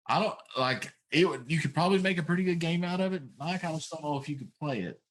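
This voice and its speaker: A man's voice: a little high, fairly quick, a little hiss or hum, poor audio. A middle-aged man in casual, informal conversation as a talk show co-host. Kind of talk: casual chat.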